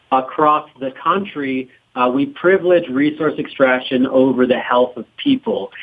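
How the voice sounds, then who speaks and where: A man being interviewed as a documentary producer, talking informally and haltingly as he thinks aloud. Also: medium pitch, phone-quality sound.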